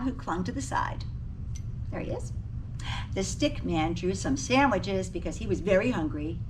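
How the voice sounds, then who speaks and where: A woman reading a picture book aloud to children, expressively, pausing to ask her young listeners questions. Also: mid-range voice, a bit bright. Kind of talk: animated storybook read-aloud for children. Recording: distant mic with faint background noise.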